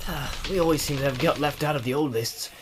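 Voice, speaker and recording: A man's voice: on the high side, fast talker, noisy background, lightly acted. A man voicing a small, young male halfling in an audiobook, inquisitive, friendly and chatty. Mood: eager. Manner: bright-eyed, inquisitive, open and chatty. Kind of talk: audiobook character acting.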